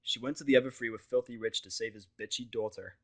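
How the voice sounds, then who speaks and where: A man's voice: medium pitch, fairly even intonation, quick pace, a bit bright. A man voicing a moody, stubborn, sarcastic human man in a fan fiction audio story, with curt delivery. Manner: curt, sardonic, swearing.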